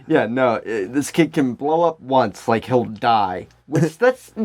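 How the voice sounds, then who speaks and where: A man's voice: mid-range voice, animated, slow pace, a little mellow. A man co-hosting a podcast, riffing and joking in casual comedic banter. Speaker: podcast co-host.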